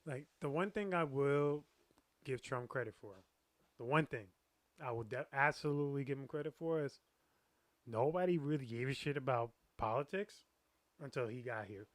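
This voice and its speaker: A man's voice: medium pitch, moderate pace, no room sound. An American man co-hosting a podcast, debating casually with slangy, teasing interjections. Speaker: podcast co-host.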